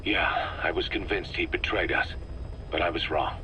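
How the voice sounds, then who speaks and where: An actor playing a brooding, remorseful military commander in video game tape dialogue, speaking with terse, bitter intensity. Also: male voice, low-pitched, fairly even intonation, normal speed, noisy background, close to an everyday voice. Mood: bitter, regretful.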